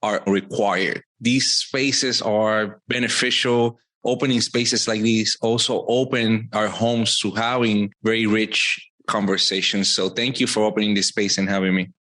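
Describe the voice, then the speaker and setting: A man's voice: on the low side, fairly even intonation, a little slow, hardly any pauses, bright, forceful tone. Man talking earnestly. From Puerto Rico. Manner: earnest, reflective, uses fillers. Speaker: podcast guest. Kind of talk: casual interview talk.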